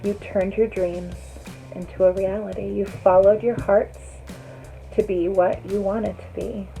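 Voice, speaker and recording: Female reader, unhurried pace, soft dark tone, rhyming poetry recital, music behind. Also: mid-range voice, little pitch movement, creaky, low-quality recording. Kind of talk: scripted rhyming poem reading.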